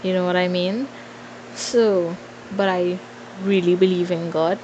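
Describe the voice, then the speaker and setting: A woman's voice: lowish voice, fairly even intonation, measured pace, mellow, dull audio, a little hiss or hum. A woman in her twenties with a Trinidadian accent chats informally and playfully to followers in a casual Q&A, thinking aloud as she goes. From Trinidad and Tobago. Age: 20s. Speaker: vlogger.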